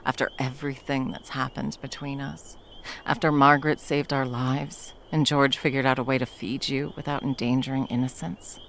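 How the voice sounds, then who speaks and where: A woman's voice: extremely low pitch, flat delivery, moderate pace, a little hiss or hum. A woman voicing a centuries-old female monster hunter in an ASMR roleplay. She talks bluntly and swears a lot, then drops into tender murmurs. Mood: remorseful.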